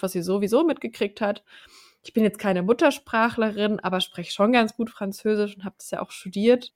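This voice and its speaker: A mother in her thirties co-hosting a podcast, telling her own story in a chatty, colloquial way with laughs between phrases. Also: medium pitch. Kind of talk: casual personal storytelling.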